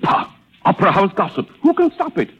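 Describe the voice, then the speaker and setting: An actor playing a suave, evasive philandering gentleman in a 1930s radio drama, smooth-talking, pompous and hesitant. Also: high-pitched, big pitch swings, a little fast, heavily acted. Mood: placating, self-satisfied. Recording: muffled old 1930s radio recording.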